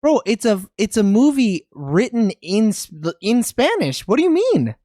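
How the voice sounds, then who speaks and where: A man's voice: high-pitched, wildly swooping pitch, measured pace, mellow, dry recording, clean studio sound. A man co-hosting a casual podcast chat. He talks bluntly and emphatically, and swears freely. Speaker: podcast co-host.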